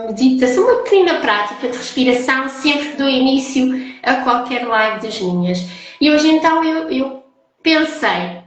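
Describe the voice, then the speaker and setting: A woman with a European Portuguese accent hosting a casual live-stream chat as a holistic therapist. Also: sits high. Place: echoey indoor room, online live call. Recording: very echoey room, live stream.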